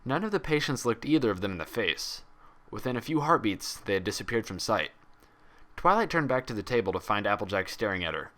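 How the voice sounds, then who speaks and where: A man's voice: lowish voice, sing-song, brisk, a bit bright. A man reading fan fiction aloud as scripted story narration. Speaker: fan fiction audiobook reader.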